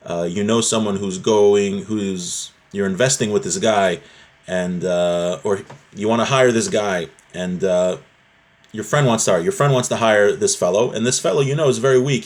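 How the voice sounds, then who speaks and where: A man giving a religious lesson as a teacher, reading Hebrew phrases and explaining them in a conversational, explanatory way. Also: lowish voice. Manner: conversational, explanatory, often checking with "right?".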